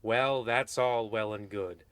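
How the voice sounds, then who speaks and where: A man's voice: fairly low pitch, steady tone, fairly bright tone, clear, resonant tone, dry recording. Man as an ominous, pompous radio horror host. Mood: ominous, courtly. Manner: theatrical, deliberate, pompous. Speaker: narrator. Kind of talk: 1940s radio horror parody narration.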